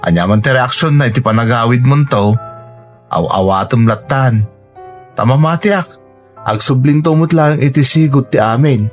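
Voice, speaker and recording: An actor playing a steady, supportive young Filipino man with an Ilocano accent, reassuring in a radio drama. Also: medium pitch, normal speed, soft background music, close to an everyday voice. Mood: reassuring, advising.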